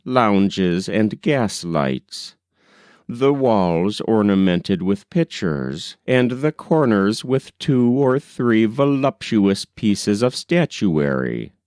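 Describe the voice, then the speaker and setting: A man reading an old-fashioned novel as an audiobook narrator, in an expressive storytelling manner that acts out the dialogue. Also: on the low side, dry recording, studio quality.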